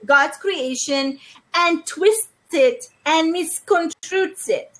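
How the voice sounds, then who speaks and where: High-voiced, woman preaching slowly. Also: fairly bright tone, low-quality recording. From Hungary. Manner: earnest, deliberate preaching. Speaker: host. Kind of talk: religious video chat. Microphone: earbuds.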